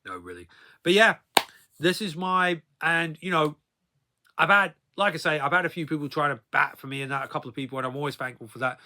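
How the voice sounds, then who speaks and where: A man in his thirties chats as a video blogger with a somewhat high, sharply bright voice. He tells personal stories loosely and informally, wandering between points and padding sentence endings with habitual tag words. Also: lively intonation, brisk. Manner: rambling, repeatedly tagging sentences with "though, really, as well". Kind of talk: casual rambling personal storytelling to viewers.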